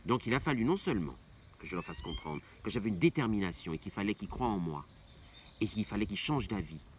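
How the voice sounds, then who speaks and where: A man's voice: fairly low pitch, sing-song, fast talker. A middle-aged man from Martinique, an opera singer answering interview questions with passion, repeating phrases for emphasis. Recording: faint background noise.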